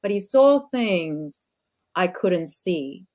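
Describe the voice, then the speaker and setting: A woman's voice: fairly low pitch, animated, drawn-out words, dark tone. Reflective woman from Singapore. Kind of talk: conversational podcast interview.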